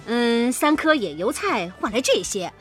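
A woman's voice: fairly high pitch, big pitch swings, measured pace, fairly bright tone, faint music behind. An actress playing a wheedling, snobbish, scheming businesswoman with theatrical exclamations in a Chinese radio drama. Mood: coaxing. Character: brisk, coaxing, snobbish businesswoman and scheming partner.

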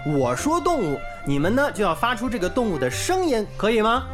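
A woman playing a patient, gentle and encouraging village schoolteacher in a radio drama. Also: mid-range voice, wildly swooping pitch, brisk. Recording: music clearly behind the voice.

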